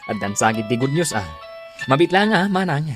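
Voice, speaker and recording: A man's voice: fairly high pitch, sing-song, normal speed, over music. A man with an Ilocano accent playing a good-natured, modest bar cook and older brother in a radio drama. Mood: cheerful, proud.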